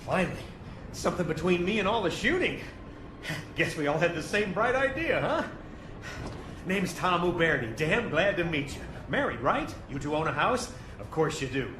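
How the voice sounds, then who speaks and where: A man's voice: fairly high pitch, sing-song, moderate pace, roomy sound, noisy background. An actor playing a pushy, over-friendly salesman with a glib, folksy patter in an audio drama. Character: pushy, chatty, oblivious male siding salesman.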